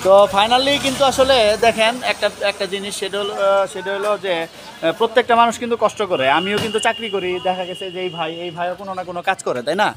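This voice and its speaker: A man's voice: high voice, fast. A man with a Bangladeshi accent doing an informal street interview and talking to viewers in a repetitive, urging way. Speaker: vlogger and interviewer. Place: outdoors on a street. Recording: noisy outdoor street with traffic.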